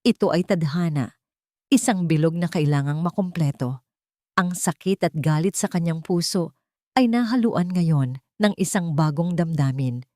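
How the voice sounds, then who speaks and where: A woman's voice: lowish voice, unhurried, warm tone, no room sound. Dramatic woman storyteller, close and dry. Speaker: story narrator. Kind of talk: dramatic scripted narration of a love story.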